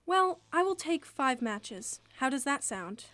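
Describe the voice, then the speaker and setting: Radio play part: kindly, generous lady caroler woman acting, high voice, encouraging, dry sound. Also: big pitch swings, measured pace, pushed and bright, no room sound, full character voice.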